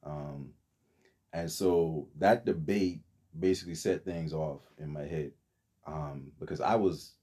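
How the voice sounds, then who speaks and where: A man's voice: extremely low pitch, takes it slowly, dark tone, husky. A young man from the Bahamas, a podcast guest telling his story in a rambling, casual way with many fillers and restarts.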